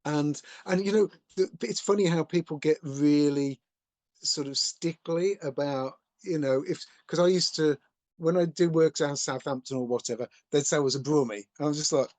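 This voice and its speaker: Animated English co-host, dry close sound. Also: male voice, a little high, normal speed, dry recording. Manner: rambling, animated storyteller. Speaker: podcast co-host. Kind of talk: casual chat.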